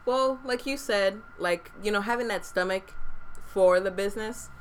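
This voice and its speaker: A woman's voice: mid-range voice, normal speed, a little hiss or hum. A woman co-hosting a casual podcast about real estate investing, talking frankly with lots of "like" fillers into a desktop microphone. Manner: frank, chatty, many "like" fillers. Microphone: desktop USB microphone.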